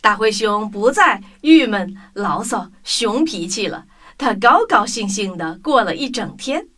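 A woman's voice: medium pitch, expressive, measured pace, fairly bright tone. A woman narrating a children's story in a scripted storytelling style. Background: music.

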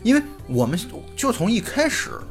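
A middle-aged man co-hosting a podcast, chatting casually and off the cuff in an animated, opinionated way. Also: high voice, normal speed, soft background music. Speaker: podcast host. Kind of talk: casual unscripted podcast chat.

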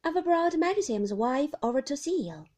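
Woman doing a hearty, loud-voiced granddaughter. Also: high-pitched, big pitch swings, moderate pace, pitched above the performer's own voice. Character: hearty adult woman, talking loudly to deaf grandmother.

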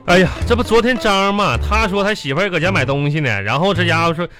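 A man's voice: sits high, animated, fast talker, a bit bright. A man acting a wisecracking, exasperated husband in a comic radio drama, with a Northeastern Chinese accent and folksy, exaggerated griping. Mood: griping, teasing. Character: exasperated, wisecracking husband teasing his gluttonous wife. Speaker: radio show host and performer. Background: music bed.

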